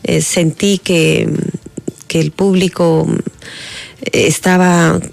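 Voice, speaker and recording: A middle-aged Peruvian woman from the Andes, a singer, talking reflectively in an interview with many pauses and fillers. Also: fairly low pitch, flat delivery, vocal fry. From Andahuaylas, Peru. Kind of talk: casual interview talk.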